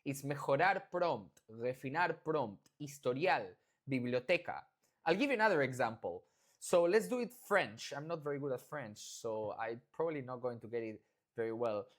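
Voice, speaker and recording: An adult from Argentina with an Argentine Spanish accent, giving a casual, enthusiastic and informal walkthrough of software updates. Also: mid-range voice, expressive, moderate pace. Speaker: product maker presenting software updates. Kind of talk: casual product update demo.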